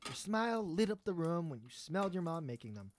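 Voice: mid-range voice, sing-song, fairly quick, a little hiss or hum, lightly acted. An actor playing an earnest, hesitant astronaut trying to win back an old friend in an audio drama. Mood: friendly, nostalgic.

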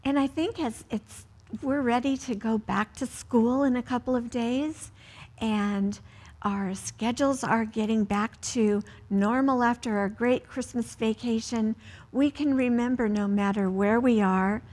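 An American woman in her sixties speaking to children as a church story time host, in deliberate phrases with pauses. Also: a little high, a little mellow. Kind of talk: read-aloud storytelling and prayer for children. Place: indoors, seated in a church.

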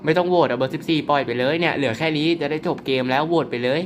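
A young man commentating his own mobile werewolf game in a chatty, excitable way, polite but slipping into laughter. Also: on the high side, rapid-fire, slight background noise. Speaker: gaming YouTuber.

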